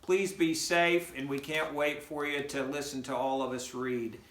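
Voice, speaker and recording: A man's voice: mid-range voice, steady tone, unhurried, fairly bright tone. A middle-aged man, an educator, reading a picture book aloud expressively and speaking directly to children. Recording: roomy, echoing home recording.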